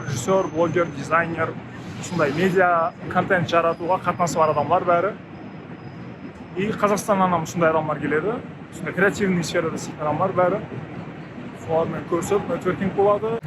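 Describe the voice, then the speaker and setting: A man chatting casually to his vlog, improvising with some hesitation and switching between languages. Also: sits high. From Karakalpakstan, Uzbekistan. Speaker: vlogger. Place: on the move, outdoors and in vehicles. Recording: loud traffic and vehicle noise.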